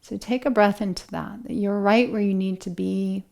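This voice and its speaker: A woman leading a guided relaxation meditation as an instructor, speaking in a gentle, soothing, unhurried manner with long pauses. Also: mid-range voice, fairly even intonation, creaky.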